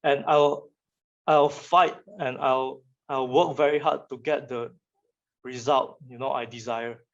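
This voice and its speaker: A man's voice: medium pitch, steady tone, slow pace, gravelly, highs cut off. A young man from Malaysia with a Malaysian English accent, Chinese his first language, giving a casual motivational talk to students and often repeating words as he restarts.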